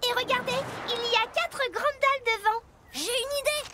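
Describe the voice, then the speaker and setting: Squeaky, eager little kid truck. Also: female voice, moderate pace, very noisy. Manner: bubbly, exclamatory. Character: eager, playful little child mini-truck. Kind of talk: animated children's cartoon acting.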